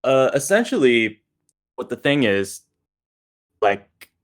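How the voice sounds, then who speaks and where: A man's voice: medium pitch, very animated, slow. A man hosting a casual panel discussion, speaking in an informal, appreciative way. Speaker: discussion host, voice actor.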